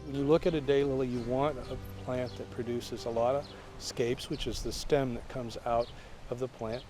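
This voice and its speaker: A man's voice: medium pitch, steady tone, moderate pace, music in the background. A man who grows daylilies, explaining in a plainspoken, matter-of-fact way during an interview in a garden. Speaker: daylily grower. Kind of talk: casual explanatory interview answers. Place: outdoor garden.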